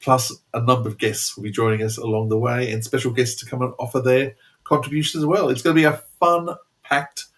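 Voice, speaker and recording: A man's voice: medium pitch, steady tone, a little fast, fairly bright tone. An enthusiastic Australian man chatting casually as a podcast co-host, rambling along as he goes.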